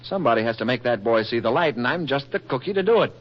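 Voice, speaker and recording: An actor playing a wisecracking, pushy American reporter in a 1940s radio drama, slangy and breezy. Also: male voice, medium pitch, expressive, quick pace, natural voice. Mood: breezy, cocky. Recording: muffled, noisy vintage radio broadcast.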